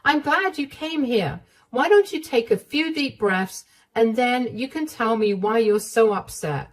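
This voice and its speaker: Protective older mother-in-law, higher voice, warm, reassuring, fierce, put on by a woman narrator. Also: animated, unhurried, low-quality recording. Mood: soothing.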